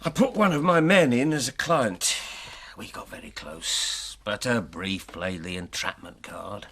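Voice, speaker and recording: An actor in a radio drama playing a genial, wry, self-assured retired police detective. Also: male voice, lowish voice, measured pace, fairly bright tone. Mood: matter-of-fact. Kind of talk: naturalistic radio drama acting.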